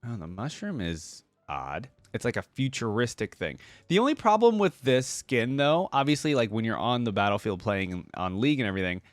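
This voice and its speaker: A man's voice: mid-range voice, sing-song, normal speed, hardly any pauses, no echo. A man giving casual, chatty reaction commentary as a video host, thinking aloud as he goes.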